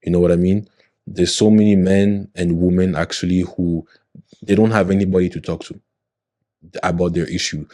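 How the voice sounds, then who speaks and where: A young man in his twenties with a low, deep voice and a mellow, dark tone. He talks earnestly and in a motivational way, often checking that he is understood. The sound is dry and close. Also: fairly even intonation, moderate pace, dry recording. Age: 20s. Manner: earnest, motivational, often checks in with "you know what I mean". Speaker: podcast guest. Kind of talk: casual podcast conversation.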